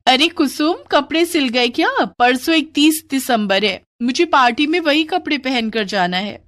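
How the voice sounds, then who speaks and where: A woman voicing a demanding female neighbour in a moral story, curt and brisk with her words. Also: sits high, lively intonation, a bit bright, pitched above the performer's own voice.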